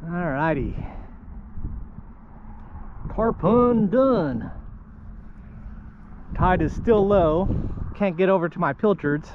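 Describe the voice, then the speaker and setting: A man's voice: high-pitched, lively intonation, takes it slowly, pauses often. A man filming himself on a kayak outdoors, chatting casually to himself and to the fish in short asides as he fishes. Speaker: kayak fishing vlogger. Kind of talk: casual narration while fishing. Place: on a kayak outdoors on shallow water. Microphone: action camera. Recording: dull action-camera audio with wind and water noise.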